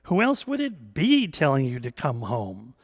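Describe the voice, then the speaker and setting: A man's voice: mid-range voice, wildly swooping pitch, unhurried, dry recording, studio quality, full character voice. A man voicing a devout, matter-of-fact older fishing buddy, wry and folksy, in a storytelling reading. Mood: wry, assured.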